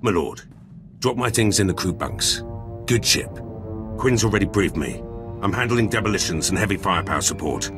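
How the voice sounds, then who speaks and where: An actor playing a stubborn, confident demolitions soldier in a video game, talking in curt, blunt phrases. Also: male voice, low voice, expressive, normal speed, over music. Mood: brisk, blunt. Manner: curt, gruff-mannered, blunt.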